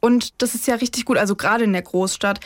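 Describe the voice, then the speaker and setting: Female podcast co-host, informal and chatty, mid-range pitch, dry close recording. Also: fast talker, no room sound. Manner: chatty, informal, laughs easily. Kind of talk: casual chat between co-hosts.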